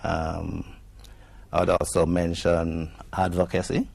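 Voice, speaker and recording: A man with a Jamaican accent answering interview questions in a measured way, pausing with frequent ums. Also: low voice, monotone, creaky, darkish tone. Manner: measured, with many ums and pauses. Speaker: guest, executive director.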